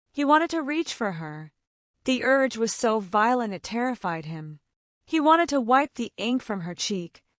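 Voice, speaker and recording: A woman narrates a romance story with expressive, dramatic delivery. Her pitch moves freely across a wide range. The recording is dry and close, with no room sound. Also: no echo.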